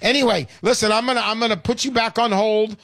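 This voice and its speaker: Man, 60s, call-in radio host, emphatic pitchman, high and dry. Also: fast, no echo. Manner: energetic, salesy, emphatic. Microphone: studio microphone.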